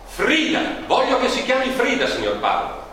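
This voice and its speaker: A man's voice: very high voice, huge pitch swings, bright, forceful tone, heavily acted. An actor playing a proud, insistent father in a theatrical, emphatic, declaiming manner. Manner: emphatic, declaiming, spells out slowly. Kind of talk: theatrical stage acting. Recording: echoey hall from far off, faint noise.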